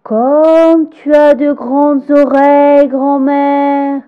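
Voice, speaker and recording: A woman voicing a sweet, obedient little girl in a storybook tale, high and eager. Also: little pitch movement, drawn-out words, highs cut off. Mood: wondering. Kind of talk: storybook character voice.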